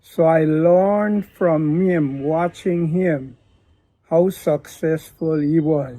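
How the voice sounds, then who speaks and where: An older man from Guyana, a pastor telling his daughter his life story in a slow, deliberate way. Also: on the high side, warm tone, no room sound. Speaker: pastor and father of the host. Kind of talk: reflective family interview.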